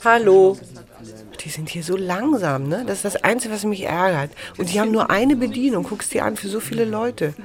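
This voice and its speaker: A woman's voice: medium pitch, normal speed, natural voice. An actress playing a chatty, anxious young woman in a naturalistic radio drama, talking in a rambling, colloquial, self-deprecating way. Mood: irritated. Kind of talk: naturalistic improvised-sounding radio drama dialogue. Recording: busy crowd chatter around voices. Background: crowd in a bar or café.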